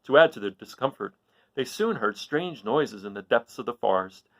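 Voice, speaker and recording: A man reading a children's story aloud with expressive, dramatic and emphatic phrasing. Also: medium pitch, moderate pace, dry recording.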